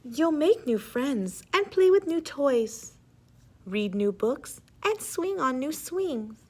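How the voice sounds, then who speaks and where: A woman reads as a mother raccoon in a storybook. She is gentle and reassuring, coaxing tenderly. Her voice is raised and very melodic. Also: high-pitched, big pitch swings, slow pace, voice pushed up, exaggerated voice. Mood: gentle, encouraging.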